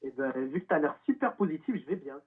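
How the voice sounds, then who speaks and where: A man's voice: a little high, lively intonation, fast, slightly rough. A man answering a prank phone call, guarded and laid-back, heard over a tinny phone line. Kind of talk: phone conversation.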